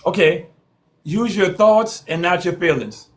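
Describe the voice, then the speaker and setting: A man in his forties hosting a live-stream call-in talk show, brisk and quick to cut callers off to steer them. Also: sits high, lively intonation. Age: 40s. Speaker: host.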